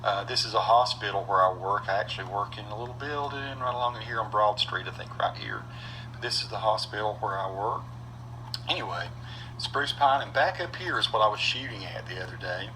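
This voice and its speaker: Rambling man, bright-toned. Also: lowish voice, slight background noise, low-quality recording. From North Carolina mountains, US. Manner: rambling, halting, many fillers and restarts. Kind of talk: casual vlog narration over own footage.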